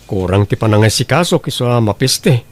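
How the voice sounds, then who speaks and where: A man's voice: medium pitch, lively intonation, brisk, lightly acted. A man with an Ilocano accent playing a humble, earnest young farmer son in an audio drama. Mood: remorseful.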